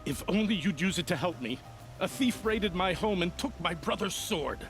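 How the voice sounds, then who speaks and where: A man's voice: high-pitched, lively intonation, normal speed, a bit bright, soft background music. An actor playing an anxious, proud nobleman in a video game, speaking in a formal, dramatic, earnest way. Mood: desperate pleading. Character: anxious, proud nobleman pleading over family honor. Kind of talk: video game character acting.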